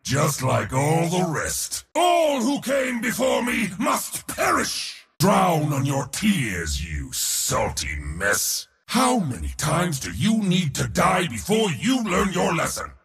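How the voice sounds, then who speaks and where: An actor playing a boastful, battle-hungry god of war in a video game, with a raspy, gravelly voice and a pompous, taunting, theatrical delivery. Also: male voice, huge pitch swings, slow pace, hardly any pauses, fairly bright tone, raised voice, big-room sound, full character voice. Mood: furious, commanding. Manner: pompous, theatrical, taunting, swinging between bellows and low sneers. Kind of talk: video game hero voice lines.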